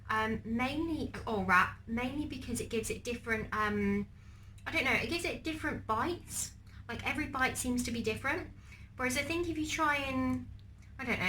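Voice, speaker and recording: A young woman with a fairly high voice talks to her camera while she cooks and eats. She has a bit of a cold that makes her sound faintly wheezy, and she rambles along with lots of ums and restarts. Faint noise sits behind her. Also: pushed and bright. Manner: chatty and rambling, many ums and trailing restarts. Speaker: vlogger. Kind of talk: casual cooking vlog and eating on camera.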